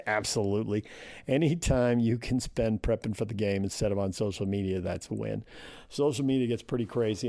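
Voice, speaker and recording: A man's voice: mid-range voice, gravelly, dark tone, no echo. An American man hosting a sports radio show, talking casually and thinking aloud in short phrases.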